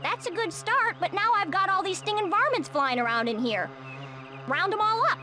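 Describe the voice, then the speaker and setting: A woman's voice: very high-pitched, expressive, normal speed, music in the background. A woman voicing a feisty, sporty squirrel in a cartoon video game, with a folksy, brash Texan drawl. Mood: bossy, exasperated. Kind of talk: animated video game voice acting.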